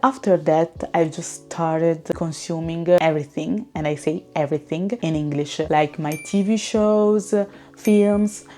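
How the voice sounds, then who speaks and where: Low-voiced woman. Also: unhurried. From Italy. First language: Italian. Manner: relaxed and chatty, with frequent fillers. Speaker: vlogger. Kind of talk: casual talk to camera.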